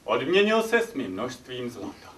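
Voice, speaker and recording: An actor playing Aesop, an ugly, witty and impudent slave who tells tales, in theatrical stage comedy style. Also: male voice, medium pitch, very animated, a bit bright, slight background noise. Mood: animated storytelling. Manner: theatrical, cheeky, declaiming. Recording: echoey theatre stage, distant microphone.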